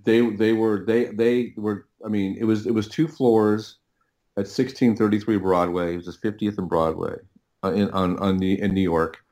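A middle-aged man speaking as a podcast guest, telling stories casually and haltingly, often repeating words as he thinks. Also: on the low side, steady tone, a little mellow. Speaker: podcast guest, audio producer. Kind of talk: casual interview storytelling.

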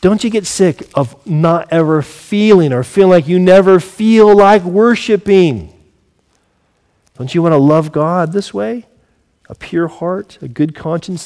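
Higher-voiced man in his forties, preaching. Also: normal speed, a little mellow. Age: 40s. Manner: earnest, conversational, asks rhetorical questions of listeners. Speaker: pastor. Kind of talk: sermon.

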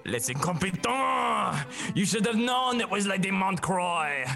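A grandiose space inspector, full of himself, swinging his bright voice up and down as he drops French phrases, as a young man acts him out. Faint music hums behind this comedic student radio play. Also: sits high, big pitch swings, unhurried, creaky, exaggerated voice. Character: pompous boastful male space detective inspector.

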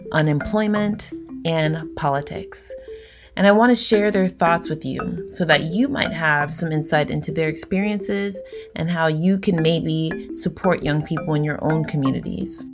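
A woman hosting a podcast, speaking in an encouraging, scripted way over a music bed. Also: low voice. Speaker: podcast host.

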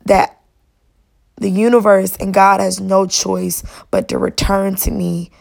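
A young woman hosting a solo podcast, her voice raspy and worn, talking in a casual, chatty, encouraging way. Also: medium pitch, little pitch movement, slow. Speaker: podcast host.

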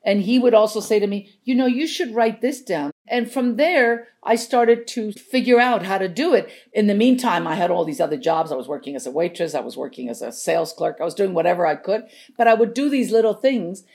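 A woman's voice: mid-range voice, fairly quick, few pauses, darkish tone. An older woman from Chile, a native Spanish speaker, telling anecdotes casually as an interview guest. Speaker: interview guest, veteran script supervisor.

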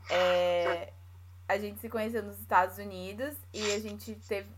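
A woman's voice: mid-range voice, slight background noise. A woman from São Paulo, speaking Portuguese with a paulista accent, casually telling her own story in conversation. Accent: São Paulo Brazilian Portuguese. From São Paulo, Brazil.

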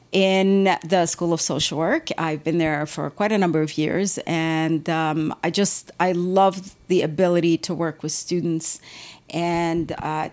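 A middle-aged woman from Lebanon, a professor and artist, speaking reflectively as an interview guest and often repeating words as she searches for phrasing. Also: fairly low pitch.

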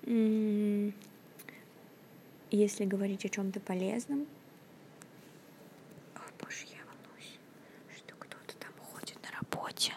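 A woman's voice: medium pitch, level tone, takes it slowly, pauses often. Hesitant young woman half-whispering into phone. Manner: hesitant, many fillers and restarts, often half-whispering. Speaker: podcast host. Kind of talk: casual rambling monologue. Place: at her workplace, indoors.

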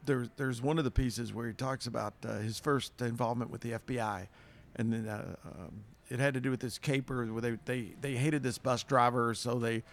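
A man from Kentucky co-hosting a casual chat, telling an anecdote with quick animated asides. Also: medium pitch, creaky.

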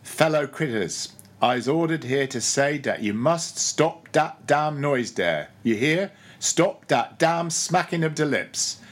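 Man acting a grumbling old ship's cook, preaching loudly. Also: fairly high pitch, lively intonation, takes it slowly. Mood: sullen, indignant. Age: older. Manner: grumbling, solemn mock-preacher delivery. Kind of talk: audiobook character voice.

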